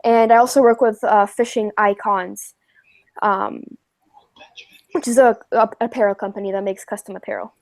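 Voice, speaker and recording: A woman's voice: medium pitch, fairly even intonation, moderate pace, darkish tone, no echo. A woman in her late teens talking casually as a guest on a live stream. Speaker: fishing YouTuber, stream guest. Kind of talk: casual chat.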